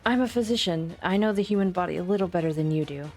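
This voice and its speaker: Woman acting a calm, lower-voiced resolute physician. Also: fast talker, faint music behind, natural voice. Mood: earnest, resolute. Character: principled, observant female physician, calm and resolute. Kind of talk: amateur fan-dub acting.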